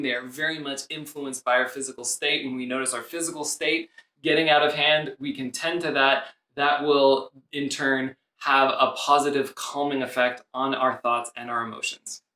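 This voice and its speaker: A man in his thirties with a loud voice, coaching viewers on calm communication in a video lesson, gesturing as he speaks. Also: fairly high pitch. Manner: animated, gesturing with both hands. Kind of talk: talking-head video lesson. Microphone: camera a few feet away. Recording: echoey room, voice slightly distant.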